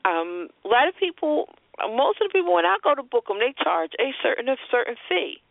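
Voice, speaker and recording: A woman's voice: high-pitched, very animated, a little fast, creaky, no echo. Woman co-host on a thin phone line. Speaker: co-host of a spoken word business show. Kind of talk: conversational advice on a call-in show. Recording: thin telephone-line sound.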